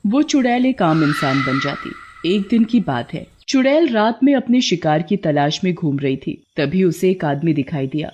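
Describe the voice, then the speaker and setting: A narrator telling a scripted horror tale for an animated story. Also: mid-range voice, moderate pace, few pauses, lo-fi sound, slight background noise.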